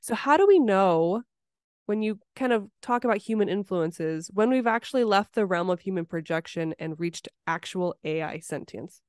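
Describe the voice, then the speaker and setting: A woman's voice: mid-range voice, expressive, moderate pace, a little mellow, clean tone, no echo, clean studio sound. A woman hosting a podcast in a casual, conversational interview style. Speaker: podcast host.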